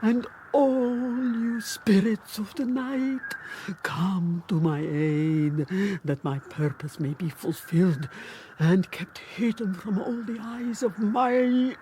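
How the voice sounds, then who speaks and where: Creepy old lady witch chant, dark mellow voice, super slow and solemn, radio drama, some noise behind. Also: medium pitch. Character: sinister older noblewoman chanting an occult invocation. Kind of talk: British radio drama acting.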